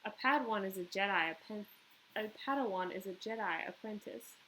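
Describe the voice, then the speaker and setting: A woman's voice: mid-range voice, brisk, sharp tone, close to an everyday voice, low-quality recording. Storybook schoolboy role, read by a woman: nosy, sly, pointed questioning, audible background noise. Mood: prying, sly. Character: nosy, sly schoolboy who needles a classmate. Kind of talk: children's book read-aloud.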